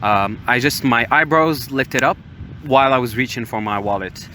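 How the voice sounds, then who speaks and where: Young man, American accent, bright forceful voice, hesitant casual storyteller, podcast host, ocean waves behind. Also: mid-range voice, moderate pace. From Morocco. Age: young adult. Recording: steady outdoor-like background noise, waves. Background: ocean waves ambience.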